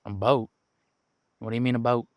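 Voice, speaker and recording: A man playing a seasoned, wry detective who patiently mentors a rookie in an audio roleplay drama, musing aloud in unhurried, folksy phrasing. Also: medium pitch, level tone, no echo, clean studio sound, natural voice. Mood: thoughtful, questioning. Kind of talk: roleplay audio drama, one-sided conversation.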